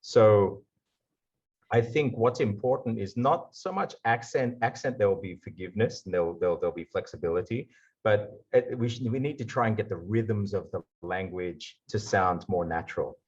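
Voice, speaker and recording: A man with an Australian accent giving a lively conference talk over a video call. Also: on the low side, normal speed, slightly rough, lo-fi sound. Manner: lively explainer, slipping into put-on accents for examples. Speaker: guest speaker.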